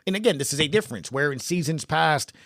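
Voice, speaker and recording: A man's voice: fairly high pitch, animated, quick pace, fairly bright tone, no room sound, clean studio sound. A man hosting a sports podcast, talking informally and conversationally as he thinks aloud.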